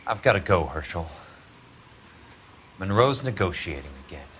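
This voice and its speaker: A man's voice: low-pitched, big pitch swings, normal speed, a bit bright, slight background noise. An American man playing a tough 1940s insurance investigator in a video game, blunt and sardonic in dramatic delivery. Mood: terse, businesslike.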